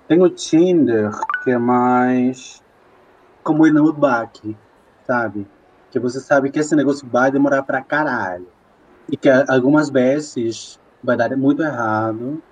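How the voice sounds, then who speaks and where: A man's voice: medium pitch, darkish tone, dull audio. A young man from Peru with a Peruvian Spanish accent, talking casually in an interview about his own life, full of fillers. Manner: slow, unhurried, chatty with many fillers. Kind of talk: casual personal storytelling.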